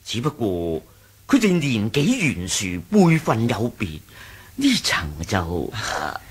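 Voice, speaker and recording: An actor playing a loyal, deferential senior lieutenant of a martial sect in a Hong Kong Cantonese radio drama, formal and husky. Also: male voice, fairly low pitch, huge pitch swings, slow, exaggerated voice. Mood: respectful, hesitant. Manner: formal, respectful. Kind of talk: 1980s radio drama acting.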